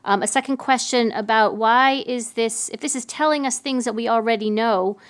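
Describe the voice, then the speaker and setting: A woman's voice: fairly high pitch, moderate pace, clean tone. A woman chairing a question and answer session, summarising questions and handing over to panelists. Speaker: panel moderator.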